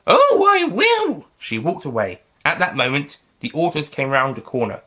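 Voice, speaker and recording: A man's voice: mid-range voice, lively intonation, normal speed, bright, forceful tone, husky. A man narrating his own story in a fan audio production, reading plainly and evenly. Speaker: storyteller and writer.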